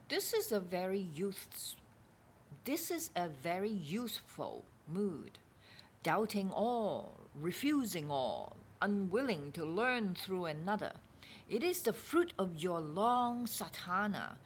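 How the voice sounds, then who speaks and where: A woman with a slightly low voice reads spiritual text aloud in an informal, deliberate way. Her pitch moves around freely while her pace stays unhurried, with halting little pauses. Background noise sits under the recording. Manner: hesitant, halting asides, deliberate expressive reading. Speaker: spiritual teacher reading a book aloud.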